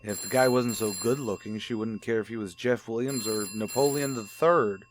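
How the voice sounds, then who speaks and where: An American actor playing a skeptical, exasperated husband in an old radio mystery drama. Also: male voice, on the low side, moderate pace, a little hiss or hum, close to an everyday voice. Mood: grumbling.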